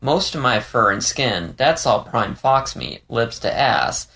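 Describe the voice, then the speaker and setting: A man's voice: mid-range voice, animated, slow, sharp tone, heavily acted. A man voicing a playful, candid young male fox in an audiobook story, rambling with hesitant stammers. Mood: frank, playful.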